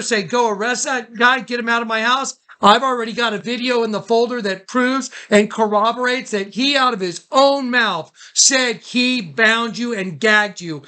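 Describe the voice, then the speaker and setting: A man with a high, bright voice speaks on a recorded phone call. He is casual in wording but urgent and insistent, repeating himself as he directs the others. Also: clear, resonant tone.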